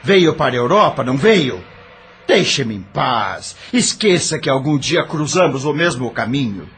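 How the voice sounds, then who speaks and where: An actor playing a troubled doctor who is defensive, curt and pleading, in an audio drama. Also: male voice, mid-range voice, very animated, a little slow, fairly bright tone, breathy edge, heavily acted. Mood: defiant.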